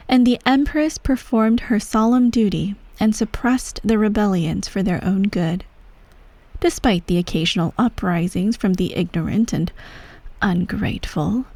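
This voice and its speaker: A woman playing an imperious, self-righteous empress who dotes on her child, speaking softly and formally in a bedtime ASMR roleplay. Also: medium pitch, no room sound. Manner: soft, measured, formal, gently condescending. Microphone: close, dry microphone.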